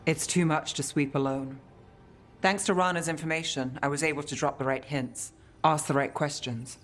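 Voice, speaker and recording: A woman's voice: low voice, fairly even intonation, normal speed, soft background music, lightly acted. A woman playing a cool, world-weary investigator in a video game, speaking in a dry, clipped way. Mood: wry, businesslike.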